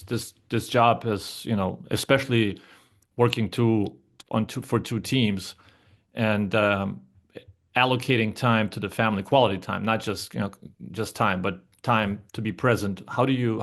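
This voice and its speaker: A man hosting a podcast, asking long rambling questions full of restarts. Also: lowish voice. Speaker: podcast host. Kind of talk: podcast interview.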